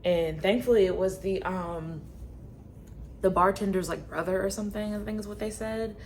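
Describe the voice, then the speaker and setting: A woman's voice: medium pitch, normal speed, darkish tone, a little hiss or hum. A woman in her thirties co-hosting a podcast, telling a story in casual chat with many fillers. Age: 30s. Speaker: podcast co-host.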